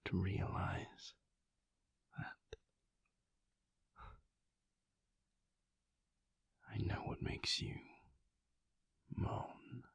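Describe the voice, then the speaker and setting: A man playing a mysterious, brooding vampire lover in an intimate audio roleplay, tender and hesitant, with halting, formal speech in a husky, breathy whisper. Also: lowish voice, fairly bright tone, no echo, exaggerated voice. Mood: hesitant, wistful. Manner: halting, measured, formal wording.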